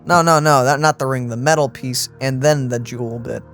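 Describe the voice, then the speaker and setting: A man's voice: mid-range voice, fairly even intonation, brisk, a bit bright, soft background music. A man giving casual, wry and sarcastic let's play commentary as he games. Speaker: gaming channel host.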